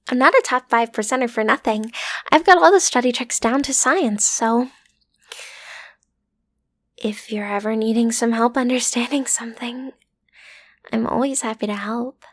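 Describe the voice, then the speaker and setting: Breathy, teasing villain girl, acted by a woman. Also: on the high side, dry recording. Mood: coaxing, intimate. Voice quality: breathy, often dropping to a whisper. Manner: teasing, coaxing, self-assured. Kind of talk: scripted roleplay audio, intimate and breathy.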